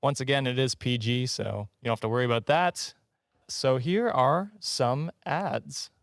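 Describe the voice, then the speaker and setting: A man's voice: medium pitch, unhurried, gravelly, background noise. A man from Canada hosting a podcast, telling stories in a casual, jokey way with banter. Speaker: podcast host. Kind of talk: casual comedic storytelling with banter.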